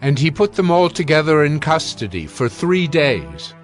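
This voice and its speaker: Man narrating scripture calmly. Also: on the high side, normal speed, soft background music.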